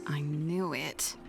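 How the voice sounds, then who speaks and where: A woman's voice: low-pitched, gravelly, fairly bright tone, heavily acted. An actress playing a weary, exasperated woman inventor and reluctant older sister in a video game. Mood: tired, dismissive. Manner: sighing, exasperated.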